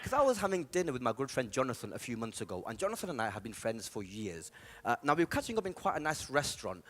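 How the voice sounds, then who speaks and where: A man's voice: medium pitch, sing-song, quick pace, fairly bright tone, slight background noise. A man doing comic storytelling as a stand-up comedian.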